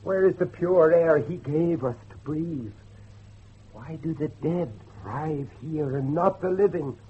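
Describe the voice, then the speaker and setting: An actor playing an anguished middle-aged father and judge, intense and halting, in a horror audio drama. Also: a little high, breathy edge, heavily acted. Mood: demanding, bitter. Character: anguished older father, a judge hunting his lost son. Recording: muffled lo-fi recording with faint noise.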